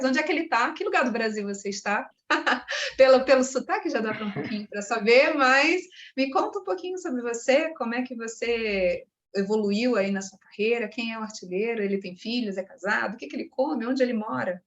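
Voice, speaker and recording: Brazilian woman hosting a podcast, bubbly and chatty, kinda high voice. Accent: Brazilian Portuguese. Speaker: podcast host.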